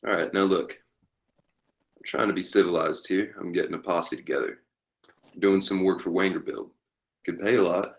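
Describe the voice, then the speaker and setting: A man acting a very deep-voiced Western outlaw captain. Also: moderate pace, creaky, long pauses. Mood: persuasive. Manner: folksy cowboy talk. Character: tough Western outlaw captain recruiting a posse. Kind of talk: western table read.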